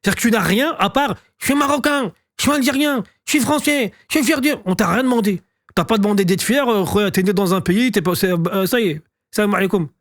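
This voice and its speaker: A high-voiced man from France fires off teasing, provocative banter at a fast clip. He swears freely and goads his co-host. His voice sits close and dry. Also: fairly bright tone, no room sound. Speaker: podcast co-host. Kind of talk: loud joking banter.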